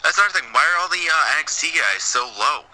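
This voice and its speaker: A man giving excitable, blunt commentary on a wrestling game, swearing freely. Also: on the high side, fast, rough recording. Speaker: gaming commentator. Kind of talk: casual let's-play commentary.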